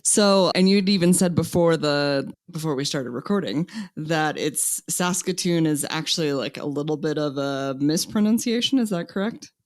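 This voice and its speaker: A woman's voice: deep voice, moderate pace, hardly any pauses, darkish tone, no room sound, clean studio sound. A woman hosting a podcast interview, asking encouraging follow-up questions. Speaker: podcast host.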